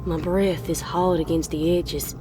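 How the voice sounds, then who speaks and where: A woman playing a disfigured pony in a slow horror monologue, haunted and obsessive, breathy and often dropping to a whisper. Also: fairly low pitch, flat delivery, noisy background, natural voice. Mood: morbid fascination. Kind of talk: slow horror monologue, scripted dramatic reading.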